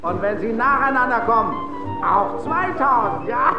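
A showy, very high-voiced master of ceremonies in a radio play. He is gleeful and pushy, coaxing the crowd with theatrical flourish. The sound is echoey, with loud music playing behind him. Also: expressive, lo-fi sound, heavily acted. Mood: boastful, coaxing. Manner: theatrical, crowd-working, drawn-out exclamations, coaxing questions. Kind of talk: radio drama acting as show announcer.

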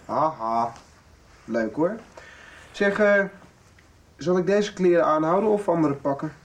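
A man's voice: a little high, expressive, a little slow. An actor playing an earnest, doubting young man in a radio soap, speaking in a hesitant, sentimental way. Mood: casual, teasing.

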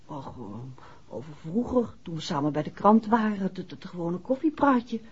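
An actress playing a refined, excitable detective's wife with a husky voice in a 1960s radio drama. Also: female voice, fairly low pitch, normal speed, exaggerated voice. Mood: thoughtful, chatty. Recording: dull 1960s radio recording.

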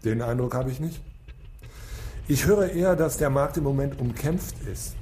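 Schauspieler als schroffer, sarkastischer Kommissar, weicher Klang, hallig. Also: male voice, medium pitch, moderate pace, dark tone, roomy sound, slight background noise, close to an everyday voice. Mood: pressing, stern. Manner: brusque, sarcastic, commanding. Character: impatient, sarcastic male police detective in charge. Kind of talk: radio drama acting.

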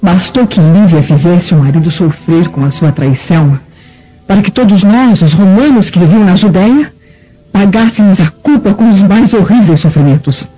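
A scornful Roman mother in a radio drama. Her voice is mellow, yet the delivery is theatrical, emphatic and full of venom. The sound is a little dull, with music softly underneath. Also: on the low side, expressive, moderate pace, slightly rough. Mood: bitterly indignant.

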